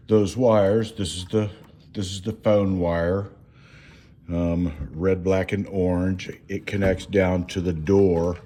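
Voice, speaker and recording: A man giving a casual, unscripted hands-on walkthrough at home, speaking haltingly with frequent pauses mid-sentence. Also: low-pitched, warm tone. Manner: halting, hesitant, pausing often mid-sentence. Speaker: home DIY reviewer. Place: indoors at home.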